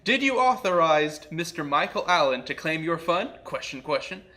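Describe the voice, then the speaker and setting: A man with a fairly high, bright voice hosts a casual comedy bit. He talks in an easy conversational way, keeping up a mock-earnest front suited to a prank call. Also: big pitch swings, moderate pace. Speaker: comedy show host making a prank call.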